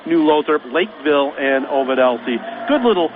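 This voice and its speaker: A man with a Midwestern American accent talks up a high school basketball game for local radio, folksy and chatty. His voice sits a little high. The sound is narrow and phone-like, with a faint hiss behind it. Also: lively intonation, a little fast. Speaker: play-by-play announcer. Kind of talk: radio sports pregame talk.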